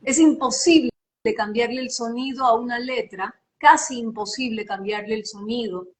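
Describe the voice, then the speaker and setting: Emphatic voice-over woman, slightly high. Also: moderate pace. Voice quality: slight sing-song lilt. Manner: emphatic, teacherly, repeats phrases. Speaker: voice-over artist. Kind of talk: live interview, giving advice.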